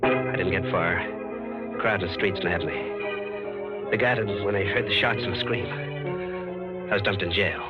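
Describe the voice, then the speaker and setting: A man's voice: on the low side, normal speed, pauses often, natural voice. An American man acting a tough, wrongly accused ex-con who tells his story in terse slang, in 1940s radio style. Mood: grim, haunted. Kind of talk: 1940s radio acting, hardboiled first-person narration. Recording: muffled vintage radio recording with music behind.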